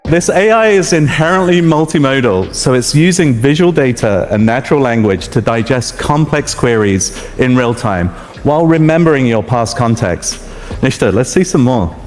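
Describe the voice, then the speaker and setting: A man's voice: mid-range voice, animated, normal speed. A man whose first language is Farsi presenting on stage in a confident, upbeat way. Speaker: presenter at a live demo. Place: stage in a large hall with audience. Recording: reverberant hall, faint music.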